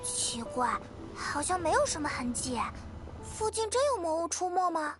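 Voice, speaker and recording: A very high, squeaky little fairy chatters with curiosity. She is childlike and expressive, a chatty game companion, with clear music playing behind her. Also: moderate pace. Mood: curious. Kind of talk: Chinese video game voice acting.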